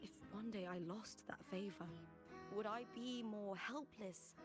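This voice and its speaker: A woman playing an earnest, proud young female gladiator who is warm but privately afraid, in video game story dialogue. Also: mid-range voice, a little slow, lightly acted. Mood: wistful, fearful. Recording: game music behind the voice.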